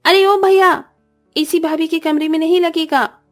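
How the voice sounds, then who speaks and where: Lady doing a greedy bratty young sister-in-law for a cartoon, super high n whiny, lays on the fake sweetness. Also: fairly even intonation, measured pace, faint music behind. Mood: sly, sweet. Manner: wheedling, theatrical, showy pleading. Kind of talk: Hindi cartoon family-drama acting.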